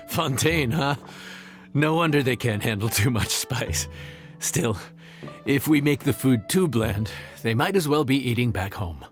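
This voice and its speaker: An actor playing an affable, knowledgeable cook in a video game, chatty and explanatory. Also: male voice, medium pitch, expressive, unhurried, faint music behind, close to an everyday voice. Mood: musing.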